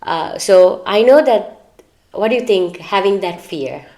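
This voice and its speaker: A woman's voice: mid-range voice, lively intonation, measured pace, dark tone. A woman co-hosting a podcast, chatting candidly and earnestly about personal matters, in a talkative, advice-giving way. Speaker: podcast co-host.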